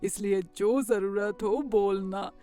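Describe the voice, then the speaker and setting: Woman acting a slow-speaking, doting old grandmother. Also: mid-range voice, steady tone, mellow, faint music behind, lightly acted. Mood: welcoming. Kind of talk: Hindi cartoon story voice acting.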